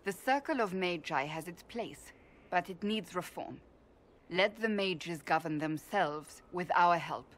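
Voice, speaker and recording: A very low-pitched female warrior, stern and principled. She speaks in formal, deliberate phrases with earnest bluntness, as in a dramatic fantasy game. Background noise sits under the voice. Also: lively intonation. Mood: resolute. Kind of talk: dramatic video game dialogue.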